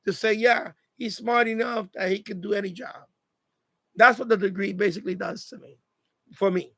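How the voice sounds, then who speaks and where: A man in his fifties with a high voice that often breaks into gravelly creak. He chats casually like a live stream host, circling back over phrases to drive advice home. The sound is close and dry. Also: steady tone, normal speed, sharp tone, no room sound. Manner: repeats phrases for emphasis, advice-giving. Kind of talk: casual live chat monologue.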